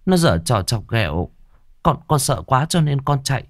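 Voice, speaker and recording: A man's voice: mid-range voice, big pitch swings, normal speed, dry recording, clean studio sound, voice pushed up. A man voicing a meek young girl who stammers in fear. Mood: frightened. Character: timid young girl, frightened stepdaughter.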